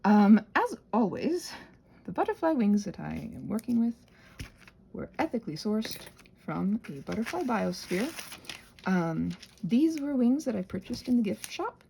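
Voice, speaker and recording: A woman's voice: mid-range voice, very animated, unhurried, creaky, mellow, slight background noise, rough recording. A woman chatting casually and quirkily as she hosts a rambling craft video, drifting playfully between asides. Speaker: host of a crafting channel. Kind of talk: casual rambling craft chat, ASMR channel.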